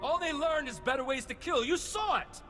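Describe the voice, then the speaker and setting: A man's voice: very high-pitched, moderate pace. A man playing a panicked, desperate captive in a video game, calling out frantically. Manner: frantic, shouting plea. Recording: game music underneath.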